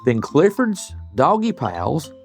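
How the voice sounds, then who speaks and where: An older man reads a children's story with very lively intonation and a creaky edge. He is expressive and stops to chat with and question young listeners. Soft music plays behind him. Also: fairly high pitch, slow. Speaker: guest storybook reader, retired principal. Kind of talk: children's bedtime story read-aloud with chatty asides.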